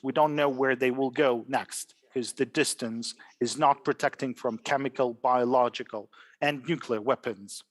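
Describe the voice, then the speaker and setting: Earnest man, fast stumbling speech. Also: medium pitch. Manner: earnest, with frequent hesitations and stumbled words. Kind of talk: formal answer on policy.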